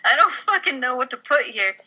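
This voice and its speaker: A woman with a piercingly bright voice on the high side takes part in a casual comedy table read. She is informal and self-deprecating between her lines. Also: big pitch swings, brisk, rough recording. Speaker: podcast cast member reading a script.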